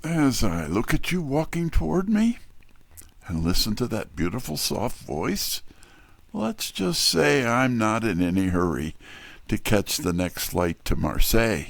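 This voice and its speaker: Wry detective narrator male actor, audio drama. Mid-pitched, gravelly fry, dry close recording. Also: sing-song, measured pace, dry recording, full character voice. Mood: smooth, charmed.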